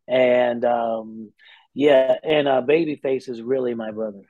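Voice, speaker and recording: A middle-aged man from Indianapolis, an R&B singer answering interview questions in a relaxed way, with many "uh" pauses. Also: medium pitch, no echo, narrow, tinny audio. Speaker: R&B singer, guest. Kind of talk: casual interview chat.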